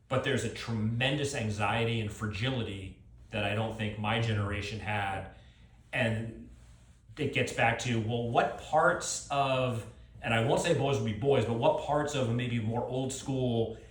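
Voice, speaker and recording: A middle-aged man speaks at a live author event in an echoey space. His pitch is average for a man, and he talks in a thoughtful, unscripted way, often qualifying and rewording as he goes. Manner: reflective, hedging, circling back to rephrase. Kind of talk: thoughtful extemporaneous talk and audience Q&A. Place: live book event with an audience.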